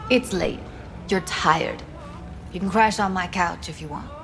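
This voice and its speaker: A woman's voice: on the low side, unhurried, gravelly, background noise. An actress playing an earnest, caring young woman in a video game, talking in an informal, slangy way. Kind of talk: video game cutscene acting. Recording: music behind the voice.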